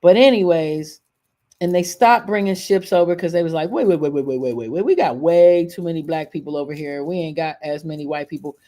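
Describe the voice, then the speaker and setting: A mid-pitched American voice hosts a casual live stream. The delivery is conversational and opinionated, with emphatic points and blunt profanity, like someone explaining history off the cuff. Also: fairly quick, mellow. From United States. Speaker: live stream show host.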